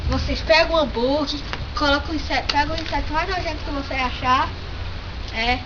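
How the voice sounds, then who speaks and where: A woman with a Brazilian Portuguese accent hosting a playful homemade vlog, joking, swearing and breaking into shouts. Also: sits high, fairly quick, background noise. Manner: excitable, jokey, swears and bursts into shouting. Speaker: vlog host. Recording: dull lo-fi home recording with music.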